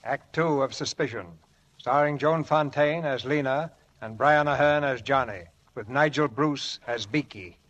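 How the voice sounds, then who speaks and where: A man hosting a 1940s radio drama with formal, measured, portentous scripted narration. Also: medium pitch, fairly bright tone, highs cut off, a little hiss or hum. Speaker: radio producer and host. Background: music.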